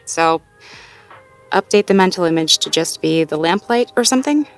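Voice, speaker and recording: A woman's voice: fairly low pitch, expressive, normal speed, soft background music. A woman playing a sweet, self-doubting, playfully wry girlfriend in an intimate audio roleplay, talking casually and conversationally with a partner. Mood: amused, thoughtful. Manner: conversational, casual, with sighs and soft chuckles. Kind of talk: intimate slice-of-life audio roleplay acting, one-sided conversation.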